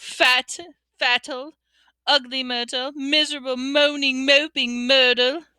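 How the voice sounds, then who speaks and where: A woman's voice: high voice, level tone, slow pace, bright, forceful tone, clean tone, no room sound, pitched above the performer's own voice, full character voice. A woman voicing a gloomy, self-pitying ghost girl in a storybook, sulky and tearfully accusing.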